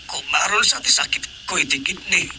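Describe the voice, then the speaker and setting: Groaning village councilman played by a man, rather high-voiced, stammering and pleading, comedy radio drama, noisy track. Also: moderate pace, natural voice. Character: whiny male village councilman faking a bellyache.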